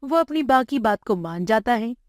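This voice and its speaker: A woman's voice: on the high side, animated, normal speed, no room sound, clean studio sound. A woman reading scripted narration for a moral bedtime story.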